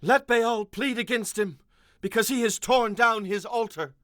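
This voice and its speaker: A man's voice: sits high, unhurried, fairly bright tone, no room sound, clean studio sound, voice pushed up. A man voicing a defiant father who challenges a crowd in a scripted Bible drama, declamatory in manner.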